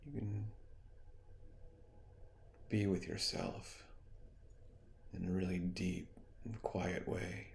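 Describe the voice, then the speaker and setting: Man, meditation guide, gravelly low register, soothing, gently suggestive, lingering pauses. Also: level tone, slight background noise. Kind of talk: guided meditation, hypnotic spoken guidance.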